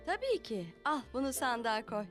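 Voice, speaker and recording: Casting: young cartoon mum, playful and kindly, high-pitched, with prominent music under the voice. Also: female voice, big pitch swings, normal speed. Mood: busy, kindly. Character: playful, affectionate young mother. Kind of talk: Turkish animated series dub. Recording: loud music behind.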